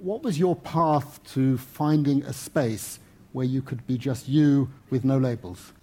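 A man's voice: medium pitch, measured pace, warm tone. A man interviewing a novelist on stage, asking earnest, probing questions with small restarts. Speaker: interviewer, lawyer. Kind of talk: festival stage conversation.

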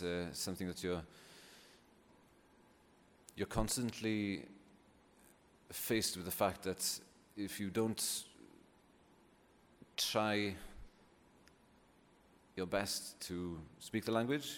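A man's voice: low-pitched, fairly even intonation, slow, pauses often. A man with a Welsh accent and Welsh as his first language, giving an informal talk as a poet in a hesitant, thoughtful way. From Wales. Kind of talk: informal unscripted public talk. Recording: echoey hall, clear background noise.

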